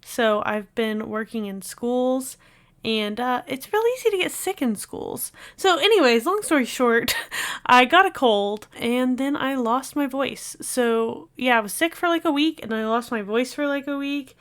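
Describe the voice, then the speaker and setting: A woman's voice: high voice, lively intonation, unhurried, a bit bright. A young woman chatting casually over a game speed build, rambling with lots of filler words, her voice still recovering after she lost it. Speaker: video game builder and streamer.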